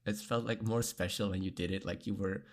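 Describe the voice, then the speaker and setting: Earnest low-voiced man, chatty guest. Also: fairly even intonation, brisk. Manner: earnest, reflective, laughs often, frequent fillers. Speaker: podcast guest. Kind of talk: candid conversational interview.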